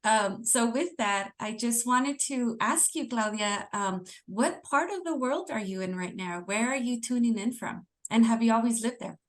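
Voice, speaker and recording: A woman's voice: a little high, moderate pace, hardly any pauses. A woman hosting a live podcast interview, asking her guest questions in a friendly, encouraging way. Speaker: podcast host.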